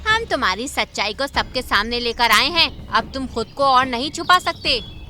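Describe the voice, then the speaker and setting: A woman's voice: high-pitched, animated, moderate pace, bright, forceful tone, soft background music. A woman voicing a brave, clever bird in a cartoon, firm, earnest and commanding. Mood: accusing.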